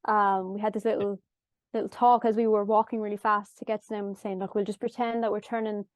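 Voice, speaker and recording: A woman from Galway with an Irish accent and a nasal, high-pitched voice. She chats casually as a musician guest, telling stories and acting out her own lines. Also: level tone, a little fast, dry recording. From Ireland. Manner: chatty storytelling, acts out her own past lines. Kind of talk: casual chat.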